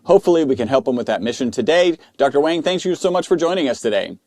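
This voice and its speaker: A man's voice: a little high, lively intonation, fast talker, a little mellow, dry recording, clean studio sound. A man hosting a podcast, introducing the show and guest and asking interview questions. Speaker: podcast host.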